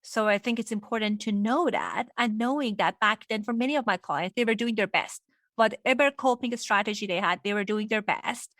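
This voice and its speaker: A woman's voice: mid-range voice, brisk, a bit bright, dry recording. A woman from Iran speaking as a psychologist guest, explaining things reflectively in an interview. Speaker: guest, clinical psychologist and sex therapist.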